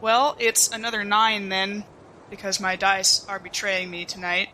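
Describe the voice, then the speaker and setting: A woman's voice: mid-range voice, level tone, unhurried, sharp tone, slight background noise, low-quality recording. A woman bantering casually as a player in a tabletop game, with a wry, joking manner.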